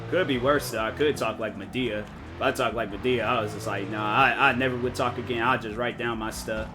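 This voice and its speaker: A man's voice: mid-range voice, fairly even intonation. Rambling young man streaming a racing game. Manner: rambling, halting, lots of fillers and restarts. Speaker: gaming streamer. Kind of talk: casual live-stream chat while racing. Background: game music and car engine sounds.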